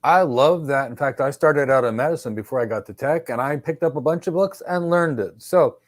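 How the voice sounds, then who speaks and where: एक उत्साहित पुरुष इंटरव्यूअर, सूखी रिकॉर्डिंग. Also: male voice, medium pitch, a little fast, no room sound, clean studio sound. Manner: upbeat, encouraging. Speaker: interviewer. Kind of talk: mock job interview.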